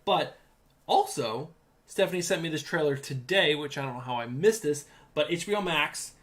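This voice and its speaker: A man's voice: a little high, lively intonation, normal speed, fairly bright tone. A man with a New England accent, co-hosting a casual podcast chat, enthusiastic and rambling with many restarts and fillers. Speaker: podcast co-host.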